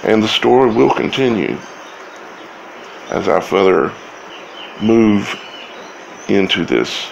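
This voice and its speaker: A man giving informal scripture commentary as a video host, speaking in halting, broken phrases with long pauses. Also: on the low side, animated, creaky, muffled recording, slight background noise.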